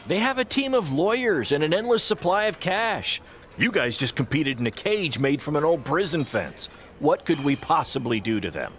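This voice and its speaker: An actor playing a cautious, doubtful wrestler friend in a video game cutscene, speaking earnestly and with hesitation. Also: male voice, on the high side, sing-song, normal speed, fairly bright tone, a little hiss or hum.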